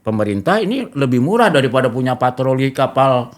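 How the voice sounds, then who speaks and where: A man's voice: medium pitch, big pitch swings, a little fast. A man with an Indonesian accent hosting a casual interview, explaining his views at length and often checking for agreement. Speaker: talk show host.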